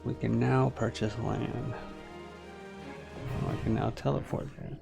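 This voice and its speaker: A man's voice: medium pitch, fairly even intonation, unhurried, gravelly, over music. A man giving live gameplay commentary, muttering to himself as he plays and reads quest text. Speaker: game streamer.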